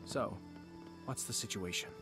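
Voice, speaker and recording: An actor playing a composed, quietly amused young lord in a video game, refined, measured and formal. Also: male voice, on the low side, gravelly. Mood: calm, assured. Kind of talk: scripted game character acting. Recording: game audio under loud music.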